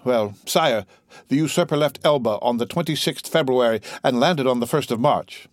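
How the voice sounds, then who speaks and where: A man's voice: mid-range voice, normal speed, no room sound, studio quality, lightly acted. A man voicing a frightened police minister who pleads and stammers before his king. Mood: pleading.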